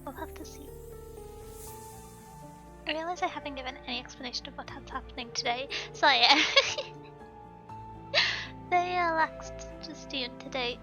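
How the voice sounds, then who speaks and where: A softly spoken woman streaming a game live, chatting casually with viewers, her voice slightly hoarse and sore. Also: high voice, a little slow, pauses often. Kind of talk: casual live-stream chat while gaming. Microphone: desk microphone at home. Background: faint background music.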